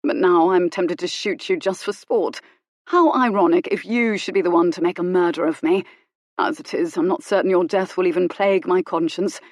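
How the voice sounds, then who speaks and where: A woman voicing a gentleman hero who threatens with glacial politeness. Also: on the low side, normal speed, no room sound, clean studio sound, close to an everyday voice. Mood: icy menace. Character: cold, menacing young gentleman hero, icily polite.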